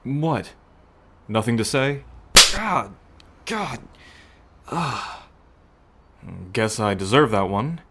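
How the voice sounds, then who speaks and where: Casting: male actor as apologetic, persistent brother for audio roleplay; mid-pitched, unhurried delivery. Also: very animated, a bit bright, a little hiss or hum, full character voice. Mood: weary, awkward. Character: estranged brother, weary, apologetic, slyly persistent. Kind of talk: ASMR audio drama roleplay.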